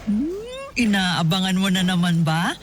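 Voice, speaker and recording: A middle-aged woman playing a warm, teasing mother in a radio drama, chatty and affectionate with her son. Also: on the low side, measured pace, slight background noise, close to an everyday voice. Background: music.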